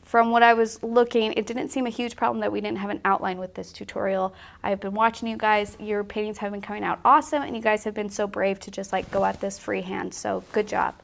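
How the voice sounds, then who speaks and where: A woman's voice: medium pitch, level tone, a little fast, gravelly, few pauses. A woman with a slight cold hosting a live painting tutorial, chatty and laughing often, with frequent fillers. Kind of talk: casual live-stream tutorial talk. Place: art studio.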